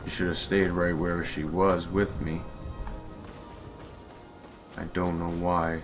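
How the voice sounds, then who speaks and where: A low-voiced man streams a video game with casual commentary. He talks informally, half to himself, while game music plays clearly behind him. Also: flat delivery, takes it slowly. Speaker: streamer and mod creator.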